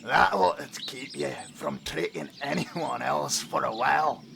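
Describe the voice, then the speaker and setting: A man playing a proud, vengeful dwarf smith with a creaky, gravelly voice in a fantasy audio drama. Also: a little high, lively intonation, measured pace, heavily acted. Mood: gloating. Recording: amateur recording with background noise.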